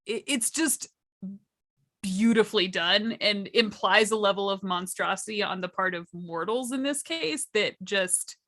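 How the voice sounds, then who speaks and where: A woman speaks as a guest on an informal panel. Her voice is bright and forward, at a middling pitch for a woman. She explains things in an enthusiastic, conversational way without a script. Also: a little slow. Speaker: workshop panelist. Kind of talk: casual, unscripted panel discussion.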